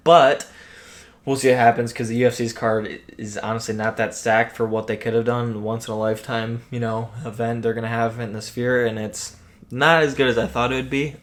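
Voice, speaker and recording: Young male fight pundit, monotone delivery, cracking voice, lots of ums. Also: mid-range voice. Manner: rambling, many ums and you knows. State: voice keeps cracking. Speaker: boxing commentator. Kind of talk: casual solo prediction talk.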